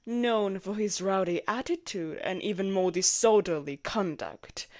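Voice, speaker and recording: A woman playing a jealous, protective royal knight in an intimate audio roleplay, expressive and blunt in manner. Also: mid-range voice, no room sound. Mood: scornful, exasperated. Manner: expressive, blunt, sweary, teasing. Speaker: voice actor in a romantic audio roleplay.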